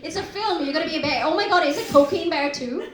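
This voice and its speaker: Brash comedy MC, woman, high animated voice, live roomy venue. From India. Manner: teasing, brash, quick banter with audience. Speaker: comedy MC and host. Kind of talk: stand-up crowd work. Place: small comedy pub venue. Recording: roomy live pub gig. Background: laughing crowd.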